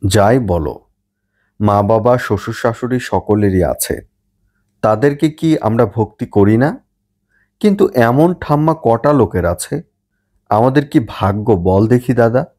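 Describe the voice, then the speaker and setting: A man voicing a young granddaughter in an audiobook, sweetly pleading with gushing flattery. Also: medium pitch, measured pace, pauses often.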